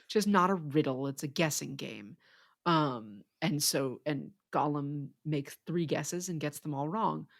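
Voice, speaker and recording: A podcast co-host explaining things in a measured way during a casual literary discussion. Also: medium pitch, vocal fry.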